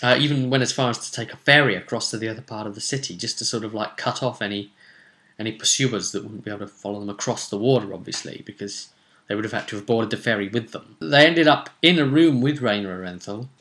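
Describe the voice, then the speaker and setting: A man's voice: lowish voice, quick pace, a bit bright. A man recounting a tabletop roleplay session as game master, storytelling and musing aloud with rhetorical questions. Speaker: game master recapping a campaign.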